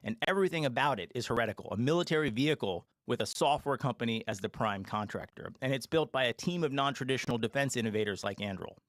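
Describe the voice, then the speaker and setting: A man with a medium-pitched voice delivers prepared remarks as an executive on an earnings call. He is emphatic and heavy on corporate jargon, recorded dry with little room sound. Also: expressive, fast talker, few pauses, no echo. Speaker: company executive. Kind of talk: scripted earnings call presentation.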